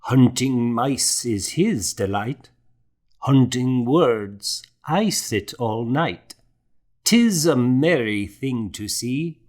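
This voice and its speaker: A man playing a gentle old monk and scribe in an audiobook, fond, contemplative and lilting as he savors his words. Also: medium pitch, lively intonation, drawn-out words, voice pushed up. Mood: merry, reciting. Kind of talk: audiobook character acting.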